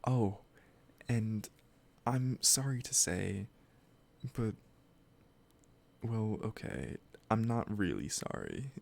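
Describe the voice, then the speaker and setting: A man playing an obsessive, lovesick kidnapper in a roleplay audio drama, soft-spoken, hesitant and possessively sweet as he addresses the listener. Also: lowish voice, animated, vocal fry, full character voice. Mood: possessive, smitten. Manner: slow, hesitant, gently coaxing.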